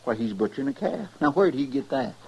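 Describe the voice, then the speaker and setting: An actor playing a fretful, loyal frontier sidekick with a rural American drawl and a naive, chatty manner, in an old-time radio western. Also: male voice, medium pitch, expressive, fast, vocal fry, darkish tone. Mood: doubtful, curious. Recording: noisy vintage radio recording.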